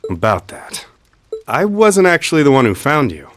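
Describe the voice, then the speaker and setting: An actor playing a gruff but good-hearted young rescuer in an anime game dub, blunt and casual. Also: male voice, medium pitch, huge pitch swings, normal speed, slight background noise. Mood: offhand, puzzled.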